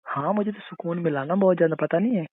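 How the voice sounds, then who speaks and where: A man's voice: high voice, quick pace, breathy edge, dry recording. A man playing a teasing young husband in intimate casual banter, coaxing and insisting playfully. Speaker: husband in a couple's call. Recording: tinny narrow phone-call sound.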